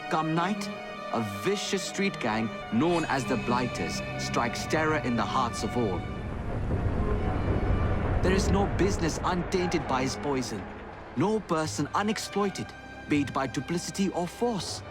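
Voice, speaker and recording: A grave assassin's pleading letter. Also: male voice, a little high, lively intonation, a little slow, over loud music. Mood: grave urgent. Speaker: assassin writing a letter, narrating. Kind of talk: dramatic game voice-over. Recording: voice-over under loud score.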